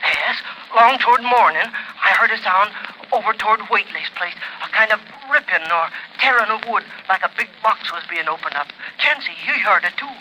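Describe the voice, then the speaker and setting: Uneasy rural farmhand, halting and plain-spoken, very bright high voice, 1940s radio play. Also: male voice, lively intonation, heavily acted. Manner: folksy, halting. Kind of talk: 1940s radio drama acting. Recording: noisy vintage radio, telephone scene.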